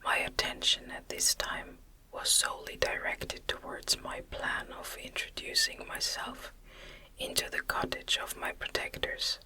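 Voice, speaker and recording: A woman narrating a gothic novel as ASMR in a breathy, unvoiced whisper, with gentle, deliberate phrasing. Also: poor audio.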